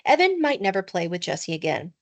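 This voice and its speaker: A woman's voice: fairly low pitch, sing-song, a little fast. A woman narrating a children's storybook expressively, with dramatic emphasis, like a teacher reading aloud.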